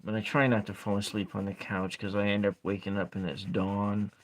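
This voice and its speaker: A man with a calm voice chatting softly with viewers while he draws and colors tarot cards, trailing off and restarting as he works. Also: a little fast, slight background noise. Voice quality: calm speaking voice. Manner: quiet, low-key chatting with viewers. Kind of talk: soft-spoken ASMR art livestream chat.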